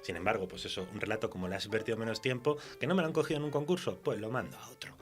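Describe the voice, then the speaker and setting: A man's voice: on the low side, very fast, soft background music. A man with a Castilian Spanish accent chatting candidly and colloquially as a writer being interviewed on radio. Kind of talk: casual conversational radio interview.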